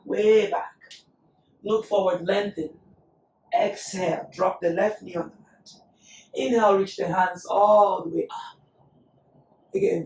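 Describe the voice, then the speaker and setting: A woman teaching a yoga class, calmly cueing breath and poses, her voice still recovering after being lost. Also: mid-range voice. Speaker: yoga instructor. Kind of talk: guided yoga class instruction. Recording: echoey room, voice from a distance.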